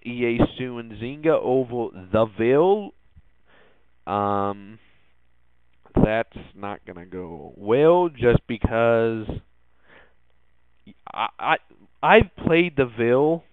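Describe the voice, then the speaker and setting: A man hosting a casual tech and gaming news podcast, speaking in a halting, hesitant way and often repeating words. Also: mid-range voice, clean tone, dry recording. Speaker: podcast host. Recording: tinny, phone-like narrowband sound.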